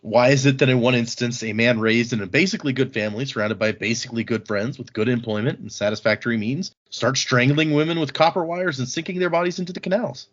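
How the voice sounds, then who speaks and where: A man's voice: medium pitch, fast, few pauses, highs cut off. A man hosting a podcast, recapping a book in a casual, informal way. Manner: informal, acts out quoted dialogue briefly. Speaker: podcast host.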